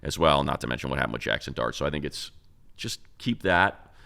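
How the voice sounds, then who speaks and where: Very deep, resonant American man talking sports. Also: animated, brisk, gravelly, sharp tone. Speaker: sports show host. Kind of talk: casual sports analysis talk.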